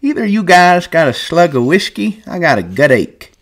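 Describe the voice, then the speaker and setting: Man putting on a complaining old ranch hand, thin, high voice. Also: big pitch swings, moderate pace, a bit bright. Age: older. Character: old male ranch swamper, whiny and sickly.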